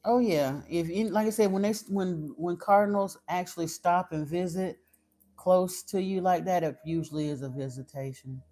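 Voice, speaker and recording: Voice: mid-range voice, normal speed, dark tone. A livestream host from Florida giving spiritual advice in casual chat, direct and at times blunt, with frequent fillers and restarts. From Florida, United States. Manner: chatty and direct, turns blunt and stern. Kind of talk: casual livestream chat answering viewer comments.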